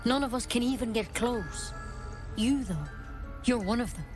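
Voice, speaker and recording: An earnest actress's druid woman from a fantasy game, knowledgeable and frank. She explains things in a measured way, with faint music underneath. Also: a little high, animated, lightly acted. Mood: earnest, urgent. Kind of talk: video game dialogue acting.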